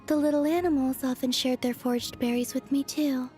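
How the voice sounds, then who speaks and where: A woman voicing a serene, otherworldly young moon maiden from a video game, soft and breathy, with a gentle, naive curiosity. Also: high-pitched, steady tone, soft background music, natural voice. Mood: serene, wistful. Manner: slow, dreamy, innocently curious. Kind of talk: video game character voice lines.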